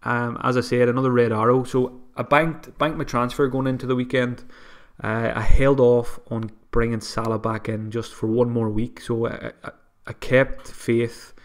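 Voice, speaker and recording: A man hosting a solo podcast, talking casually and a bit sniffly from a cold, with frequent restarts and self-deprecating asides. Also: mid-range voice. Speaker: podcast host. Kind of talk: solo fantasy football podcast.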